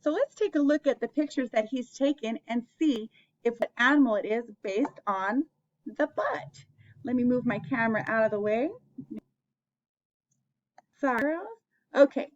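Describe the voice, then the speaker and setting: A woman's voice: on the high side, a little slow, long pauses, muffled recording. A woman hosting an interactive picture-book read-aloud for young children, asking them questions and encouraging them as she goes.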